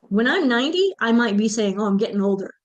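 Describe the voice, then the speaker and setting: A woman's voice: on the high side, animated, fast, muffled recording. A woman, hosting a motivational solo podcast and speaking to listeners directly in an encouraging way. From United States. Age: 50s. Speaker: podcast host and menopause mentor.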